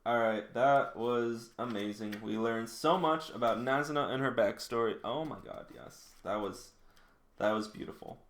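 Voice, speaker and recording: Man reacting excitedly in an echoey room. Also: mid-range voice, moderate pace. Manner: excited, gushing fan reactions. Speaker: manga read-along host. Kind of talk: live reading with reaction commentary. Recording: roomy, echoing indoor sound.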